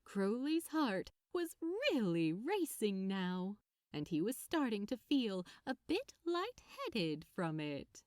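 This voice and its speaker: Female fiction narrator, scripted and expressive, taking her time, very varied intonation, dry recording. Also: medium pitch, slow pace, no room sound.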